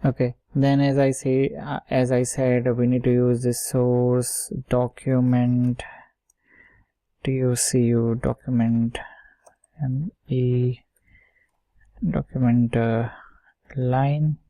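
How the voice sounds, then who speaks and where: A man's voice: medium pitch, little pitch movement, takes it slowly, pauses often, dark tone, no room sound. A man giving an informal step-by-step screen-share coding tutorial, often checking in with short tags and muttering words as he types. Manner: explains step by step, often checking with "okay" and "right". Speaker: software tutorial instructor.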